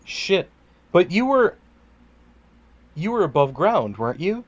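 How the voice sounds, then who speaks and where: A man's voice: high-pitched, very animated, takes it slowly, highs cut off. A man playing a tabletop roleplaying game, switching between casual table talk and in-character speech.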